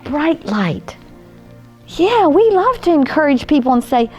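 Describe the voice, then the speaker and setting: An older woman with a high voice tells stories to small children at an unhurried pace. She coaxes them along in a sing-song, grandmotherly way, asking them questions as she goes. Music plays behind her. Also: sing-song, a little mellow. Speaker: children's storyteller and host.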